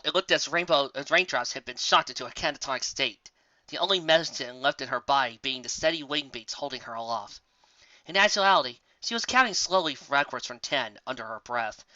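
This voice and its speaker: Guy reading fanfic out loud, expressive, bright sharp voice, kinda lofi mic, no room sound. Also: on the high side, dry recording, highs cut off. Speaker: fan fiction narrator. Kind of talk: expressive scripted story narration.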